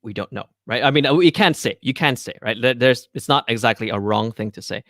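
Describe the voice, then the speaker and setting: A man's voice: mid-range voice, brisk, fairly bright tone, no room sound, clean studio sound. A man from Malaysia, a guest on a podcast, who answers thoughtfully with frequent ums and restarts. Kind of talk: casual interview chat.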